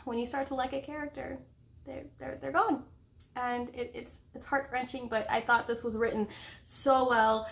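A woman's voice: high voice, little pitch movement, normal speed, gravelly. Enthusiastic woman chatting about books. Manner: enthusiastic, emphatic, playful. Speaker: book vlog co-host. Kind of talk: casual book review chat. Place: indoor room at home with bookshelves.

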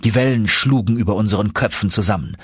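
A man reads an adventure audiobook with expressive, suspenseful delivery. His voice is fairly low and noticeably husky. The recording is close and very dry. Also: fast talker, no room sound. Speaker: audiobook narrator. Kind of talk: dramatic scripted audiobook narration.

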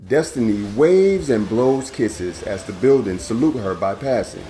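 A man in his thirties hosting a storytime, reading a children's picture book aloud indoors with a playful, animated delivery. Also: mid-range voice, unhurried, soft background music. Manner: animated, playful, stretches words for young listeners. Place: indoor room at home.

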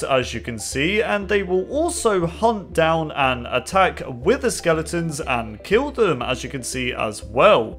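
A young man covering game news as a voice-over, his voice slightly hoarse from a coming cold. He pitches a bit high and swings his intonation a lot. Faint background music plays under him. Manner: upbeat, lively, repeats "as you can see". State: hoarse, getting sick. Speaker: gaming channel host.

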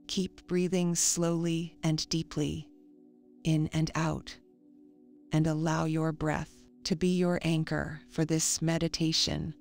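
A woman reading a scripted guided meditation in a calm, soothing, measured manner. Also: deep voice, creaky. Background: faint music.

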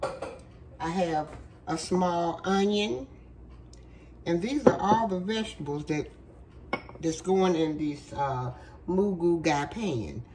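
A woman walks viewers through a recipe in her home kitchen, speaking slowly in a lowish voice. Her voice is worn from a cold, and she talks in a chatty, folksy way with plenty of fillers. A faint hum of kitchen noise sits behind her. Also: a little mellow. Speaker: cooking show host. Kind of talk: casual cooking demonstration.

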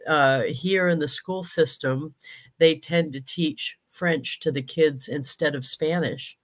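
A woman's voice: deep voice, takes it slowly. Chatty older woman hosting a video call. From United States. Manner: chatty, laughs often. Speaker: host. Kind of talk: casual video-call interview. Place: home office with bookshelves. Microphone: webcam on a video call.

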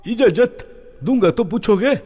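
A man's voice: high-pitched, lively intonation, measured pace, mellow, breathy edge, exaggerated voice. A man voicing an irritable, blustering old village cleric in a cartoon, grumbling indignantly. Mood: irritated. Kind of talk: cartoon voice acting.

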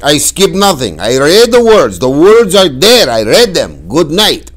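A man voicing a stubborn old barber father who answers in a loud, indignant voice. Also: a little high, huge pitch swings, measured pace, pitched above the performer's own voice, heavily acted. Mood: huffy.

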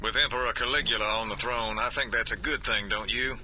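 A wry special forces soldier in a game cutscene. His middle-pitched voice is very bright and sharp, with dry delivery. Faint ambience lies behind. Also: steady tone, fairly quick, slight background noise. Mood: sardonic. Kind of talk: video game cutscene acting.

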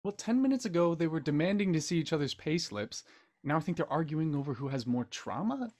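A man's voice: on the high side, big pitch swings, brisk, a little mellow. A young man playing a kind, hesitant scriptwriter in an audio drama. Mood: wry. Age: young adult. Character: kind, awkward, reassuring young male scriptwriter.

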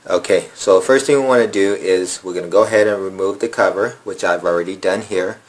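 A man's voice: sits low, a little fast. A man casually walking viewers through a phone reset step by step, with many ums and uhs. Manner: relaxed, lots of fillers. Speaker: phone repair tutorial host. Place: indoor room at a table.

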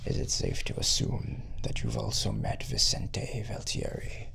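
A young man playing a sinister, courteous assassin cult leader in a hushed, half-whispered ASMR roleplay. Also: very deep voice, huge pitch swings, a little slow, vocal fry, exaggerated voice. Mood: quietly sardonic. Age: young adult.

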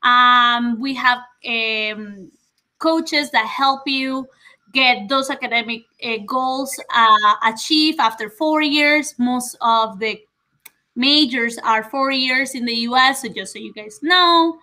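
Upbeat bright-voiced young woman on a video call. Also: a little high, slow pace. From Venezuela. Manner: upbeat, informative, addresses viewers directly. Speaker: university representative. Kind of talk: friendly webinar presentation. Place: home living room.

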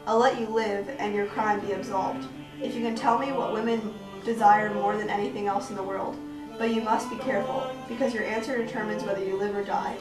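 A woman's voice: mid-range voice, steady tone, fairly quick, natural voice. A woman playing a stern but merciful medieval queen in a scripted puppet show. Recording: echoey, distant, music underneath.

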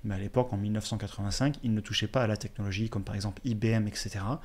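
Guy in his 30s, low-ish voice, talks fast, explains stuff straight to camera, close mic in a small room. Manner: explanatory, steady, speaks to viewers directly. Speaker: finance channel host. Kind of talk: educational commentary over slides. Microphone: studio mic on a boom in front.

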